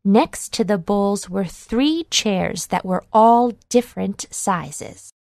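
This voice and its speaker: A woman narrating a children's storybook in a measured, clearly enunciated read-along delivery. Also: mid-range voice, expressive, a little mellow, dry recording, clean studio sound.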